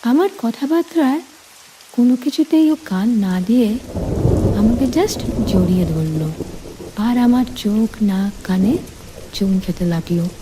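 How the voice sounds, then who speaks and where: Woman storyteller, higher-pitched voice, unhurried short phrases, romantic first-person narration, faint rain-like hiss behind. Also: expressive.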